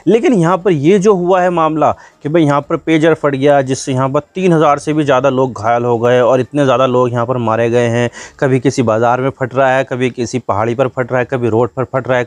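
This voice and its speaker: A man's voice: medium pitch, dry recording. A man hosting an informal current-affairs explainer, talking conversationally to viewers with repeated filler phrases.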